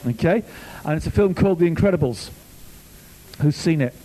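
Man, youth minister, chatty sermon, mellow tone, slightly high voice. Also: animated, a little fast, poor audio. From Wales. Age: older. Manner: chatty, jokey storytelling. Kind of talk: informal sermon.

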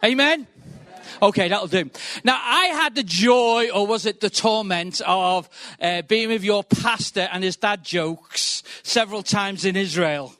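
Fast-talking Liverpool preacher. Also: male voice, high voice, bright, forceful tone, no echo, clean studio sound. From England. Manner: quick, chatty, joking, punctuates with "right". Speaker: guest preacher. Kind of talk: sermon.

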